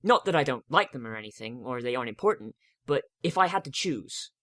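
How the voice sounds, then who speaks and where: A man's voice: medium pitch, animated, brisk, fairly bright tone, no echo, studio quality, lightly acted. A man voicing an anxious, bookish young female unicorn, earnest and hesitant, in a fantasy story reading. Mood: worried. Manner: earnest, hesitant, self-doubting.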